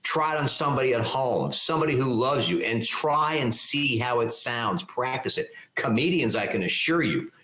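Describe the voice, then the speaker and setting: An older man, a leadership speaker, answering interview questions in a loud, articulate, emphatic way and laying out his points one by one. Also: mid-range voice, animated, normal speed, few pauses, echoey room. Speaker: keynote speaker and leadership mentor.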